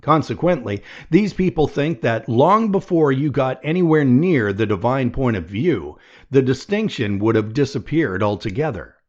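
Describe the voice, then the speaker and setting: A man reading a nonfiction audiobook aloud in a measured, deliberate way. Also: mid-range voice, lively intonation, darkish tone, muffled recording. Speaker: audiobook reader.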